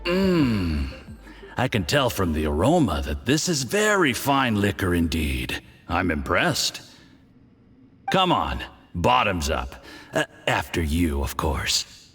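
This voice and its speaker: Game villain drinker: boisterous, menacing, hugely animated delivery, reverberant recording. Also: male voice, low-pitched, faint music behind, heavily acted. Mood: smug goading. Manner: taunting, hearty, slow. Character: boisterous, goading male drinker with a menacing edge. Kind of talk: video game voice acting.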